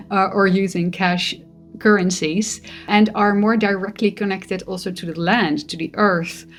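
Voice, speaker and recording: Woman, slow and gentle, reflective spiritual vlog monologue over faint music. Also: medium pitch. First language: Dutch. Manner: gentle, musing, with soft laughs. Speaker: spiritual vlogger.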